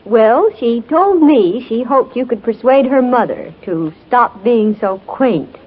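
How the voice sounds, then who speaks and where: An amused assistant in an old radio drama. The voice is high and very slow, wry and obliging. The recording is muffled and old. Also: female voice, lively intonation, husky, full character voice. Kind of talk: 1940s radio drama acting.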